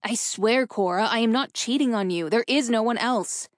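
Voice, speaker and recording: A woman's voice: medium pitch, steady tone, normal speed, creaky, no room sound, close to an everyday voice. Woman voicing a weary, pleading husband, dry sound. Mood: earnest pleading. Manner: earnest, pleading, exhausted. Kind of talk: audiobook character dialogue.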